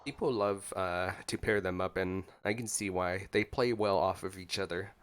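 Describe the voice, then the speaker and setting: A man's voice: deep voice, fairly even intonation, moderate pace, clean tone. A man giving casual, playful reaction commentary on a video game, informal and teasing. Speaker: gameplay commentator. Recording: game music behind the voice.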